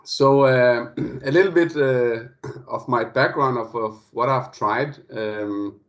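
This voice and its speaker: A man's voice: medium pitch, slow. A man from Denmark giving the friendly, hesitant opening of an online guest lecture, full of fillers and restarts. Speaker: guest speaker. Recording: dull lo-fi online call audio.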